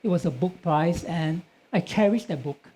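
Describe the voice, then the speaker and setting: A man's voice: a little high, normal speed, dark tone. A man, a Cantonese speaker, earnestly recalling his start as a writer on a panel. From Singapore. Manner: earnest, plain-spoken recollection. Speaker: author on a panel.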